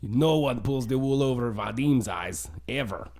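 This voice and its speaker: A man's voice: medium pitch, unhurried, darkish tone. A blustering, paranoid co-host, played by a man. He speaks choppy, broken English and throws out accusations. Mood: accusing.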